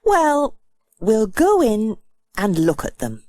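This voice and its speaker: Lady doing a knowing practical girl in a kids story, high and unhurried, dry close sound. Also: huge pitch swings, darkish tone, no room sound, heavily acted. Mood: keen.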